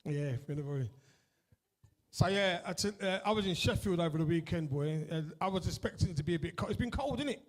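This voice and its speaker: A middle-aged man with a broad East London accent works a live comedy crowd in a high, bright-edged voice. He rambles in a chatty way, swears freely and tags his lines with "innit" and "right". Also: expressive, brisk. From East London, England. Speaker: stand-up comedian. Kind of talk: stand-up comedy set with crowd work. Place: comedy club with a live audience.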